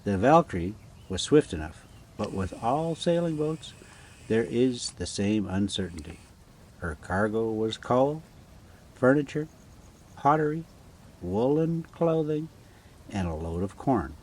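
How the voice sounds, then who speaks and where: A man reading a story aloud casually and haltingly, stumbling over foreign names. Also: on the low side, very animated, a little mellow. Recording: noticeable background noise.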